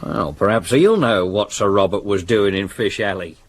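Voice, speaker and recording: An actor playing a dry, deferential Victorian police sergeant with an English accent in a radio drama. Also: male voice, fairly low pitch, moderate pace, close to an everyday voice. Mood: reporting. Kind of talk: 1970s radio drama acting. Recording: slightly noisy vintage radio recording.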